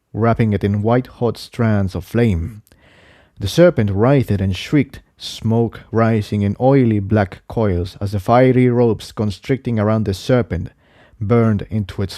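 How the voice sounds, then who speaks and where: A man reading an adventure story aloud as narrator, in a measured, dramatic storytelling manner. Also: lowish voice, dark tone, no echo, studio quality. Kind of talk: scripted narration, audiobook-style story reading.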